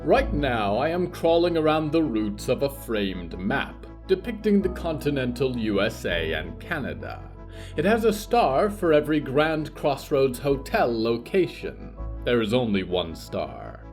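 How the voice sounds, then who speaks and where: A man narrating scripted horror fiction as host, in a theatrical, deliberate style. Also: mid-range voice, big pitch swings, a little mellow, faint music behind.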